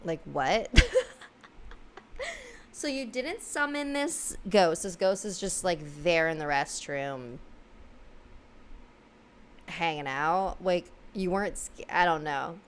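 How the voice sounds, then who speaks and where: A woman's voice: fairly low pitch, expressive, slow pace, pauses often, a little hiss or hum. A young woman hosting, casually reading out viewer stories with giggles and lots of fillers between them. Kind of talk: casual read-aloud storytelling.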